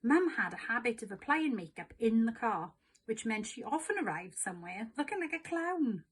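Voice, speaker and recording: Woman, storybook narrator, expressive read-aloud, fairly high pitch, slightly husky. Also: normal speed.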